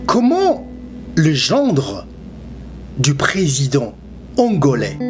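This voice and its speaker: Female documentary narrator, low register, measured and questioning delivery, loud guitar music underneath. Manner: measured, posing rhetorical questions. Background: loud plucked-string music.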